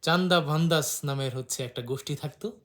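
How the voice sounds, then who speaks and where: A man's voice: on the high side, brisk. A man hosting a casual book discussion, phrasing things hesitantly and chiming in with agreement. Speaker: host interviewer.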